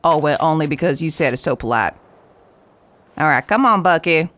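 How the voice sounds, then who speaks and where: An actress playing a friendly rural woman who coaxes and gently teases as she helps someone onto a horse. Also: low-pitched, normal speed. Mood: coaxing. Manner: lively, coaxing, gently teasing.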